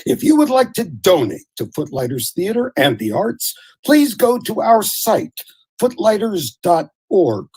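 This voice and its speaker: A man's voice: sits high, big pitch swings, unhurried, darkish tone, slightly rough. A man hosting a theatre radio show, making announcements and appeals to the audience.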